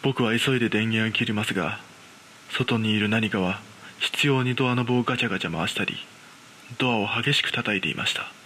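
Horror radio drama lead: man playing an ordinary, frightened young guy, measured suspenseful narration, forceful tone, faint hiss. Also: fairly low pitch. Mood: panicked, tense. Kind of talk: dramatised ghost story narration.

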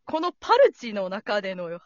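A woman's voice: medium pitch, wildly swooping pitch, moderate pace, dry recording, dull audio. A woman chatting casually, hesitant and self-deprecating, with dry retorts. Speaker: show regular, comic straight-man role. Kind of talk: casual chat.

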